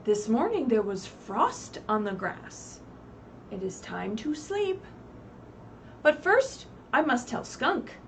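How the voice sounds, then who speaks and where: A woman voicing a small, agreeable snail from a children's storybook in an earnest, deliberate way. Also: on the high side, very animated, exaggerated voice. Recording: distant mic, faint background noise.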